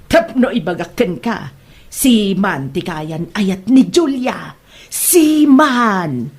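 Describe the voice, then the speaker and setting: A woman playing a boastful, gossiping middle-aged mother in an Ilocano comedy drama, with an Ilocano accent and emphatic, drawn-out bragging. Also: medium pitch, expressive, husky, exaggerated voice. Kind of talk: Ilocano comedy radio-style drama acting.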